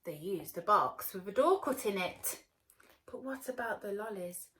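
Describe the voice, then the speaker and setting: Unhurried woman teacher reading to young children. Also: mid-range voice, a little hiss or hum. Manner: expressive, addressing small children. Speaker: early years teacher. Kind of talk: storytelling read-aloud for young children.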